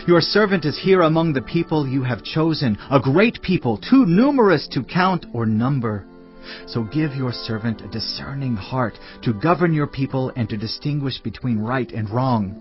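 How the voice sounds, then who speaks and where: An earnest young king played by a man speaks deliberately and reverently in a biblical audio drama. His voice is mid-pitched. The recording is slightly dull, with soft music beneath. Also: expressive, natural voice. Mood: humble reverence. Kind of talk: dramatised audio Bible acting.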